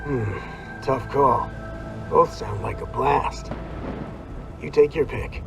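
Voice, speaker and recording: A man's voice: mid-range voice, slow pace, vocal fry, over music. A man acting as an easygoing companion in a survival horror game, with a casual, wry delivery. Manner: casual, wry, laid-back.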